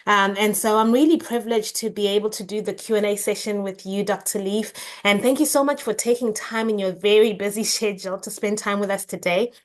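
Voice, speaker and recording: A woman from Southern Africa hosting an event and introducing the guest speaker in a lively, warm way. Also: medium pitch, brisk, hardly any pauses. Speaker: host.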